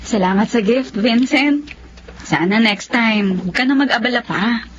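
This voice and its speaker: A Filipino woman playing a gentle, sensible young girlfriend and dutiful daughter in a radio drama, earnest in delivery. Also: on the high side, normal speed, lightly acted. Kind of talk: Tagalog radio drama acting. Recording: dull lo-fi radio drama, noisy ambience.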